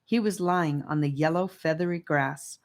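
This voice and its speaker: A woman's voice: low-pitched, moderate pace. A woman reading scripted narration of a children's fantasy audiobook.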